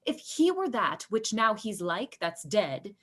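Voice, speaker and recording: A woman playing a scheming, ambitious male lord in a Shakespearean radio play, insinuating and deliberate as a persuasive tempter. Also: medium pitch, big pitch swings. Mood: smug, ruthless.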